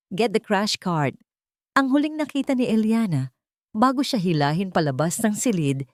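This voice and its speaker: A woman's voice: fairly low pitch, a little mellow, no echo, studio quality. A woman with a Filipino accent reading a dramatic scripted story as its narrator.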